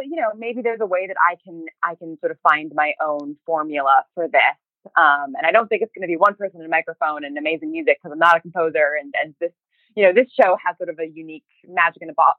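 A woman talking casually as an interview guest, halting and often rephrasing as she tells her story. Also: fairly low pitch, dry recording. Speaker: podcast interview guest, writer and creator. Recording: thin, phone-like narrow band.

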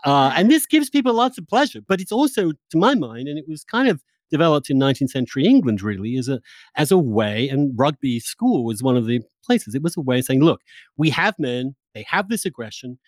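A man's voice: medium pitch, very animated, brisk, dry recording. A man hosting a podcast, talking in a discursive, informal, conversational way with the odd mild swear. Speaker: podcast host. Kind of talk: conversational intellectual interview.

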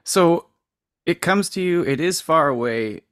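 A man's voice: fairly high pitch, animated, a little slow, a bit bright, no echo, studio quality. A man hosting a podcast, reading his intro and interviewing a guest in an upbeat, appreciative way. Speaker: podcast host.

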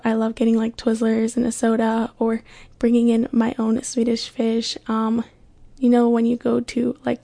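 A young woman born in Kenya, hosting a solo podcast and chatting casually, with a relaxed, filler-heavy way of talking. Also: on the high side, gravelly. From born in Kenya, raised in California. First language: Swahili. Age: young adult. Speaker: podcast host.

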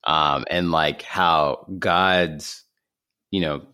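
A man's voice: a bass voice, fairly even intonation, very slow, bright, forceful tone, clean tone. A man hosting a thoughtful discussion. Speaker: host.

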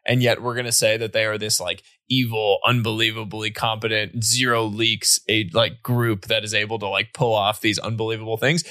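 Guest, man in his early thirties, lowish voice, chatty and loose, dry close sound. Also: pushed and bright, dry recording. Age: 30s. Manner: chatty, heavy use of "like". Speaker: podcast guest. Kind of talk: casual conversational interview.

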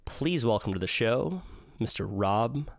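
A man hosting a podcast interview in a casual, thoughtful way, his voice hoarse and partly lost. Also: medium pitch, lively intonation, measured pace, no room sound, studio quality. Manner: thoughtful, with frequent fillers and restarts. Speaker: podcast host.

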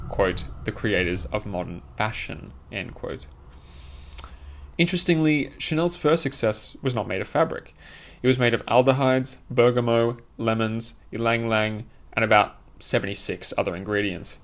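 A man reading his own scripted essay aloud, in a formal, literary and opinionated manner. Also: fairly low pitch.

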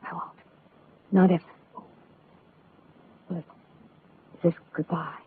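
A woman's voice: on the low side, flat delivery, slow pace. An actress playing a wistful, pleading married woman clinging to lost love in a 1940s radio drama. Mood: fragile, tender. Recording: tinny, noisy vintage radio recording.

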